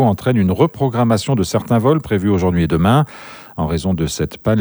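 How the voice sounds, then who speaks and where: A man anchoring a radio newscast, reading the news in a steady, measured way. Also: on the low side, breathy edge, no echo, studio quality. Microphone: studio mic.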